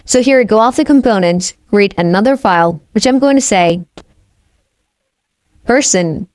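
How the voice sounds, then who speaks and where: A woman's voice: mid-range voice, animated, unhurried, darkish tone, dry recording, studio quality. A woman teaching a coding tutorial, narrating each step of the code as she types, with frequent "okay?" check-ins. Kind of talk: screen-recorded coding walkthrough.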